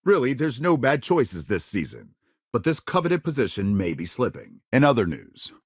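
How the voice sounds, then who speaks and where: An actor playing a polished news anchor in a spacefaring future video game, with measured, emphatic, dramatic newsreader phrasing. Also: male voice, medium pitch, big pitch swings, slightly rough, dry recording, clean studio sound. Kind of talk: scripted broadcast news delivery.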